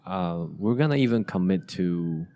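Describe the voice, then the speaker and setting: Low-voiced halting man. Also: lively intonation, warm tone. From Asia. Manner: halting, many restarts and fillers. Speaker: podcast host. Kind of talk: casual conversation.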